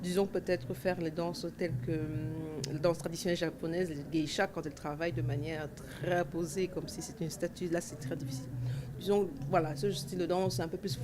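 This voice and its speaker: A woman's voice: lowish voice, little pitch movement, a little fast. A woman in her forties from Cameroon, answering interview questions at length with many fillers and restarts. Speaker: dancer and festival organizer. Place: outdoor festival grounds. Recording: festival ambience noise behind.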